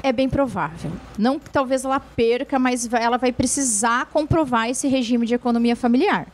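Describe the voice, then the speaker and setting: A woman's voice: on the high side, moderate pace. A woman lawyer answering viewer questions on a TV show, explaining patiently with frequent tag questions and restarts. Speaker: lawyer, guest expert.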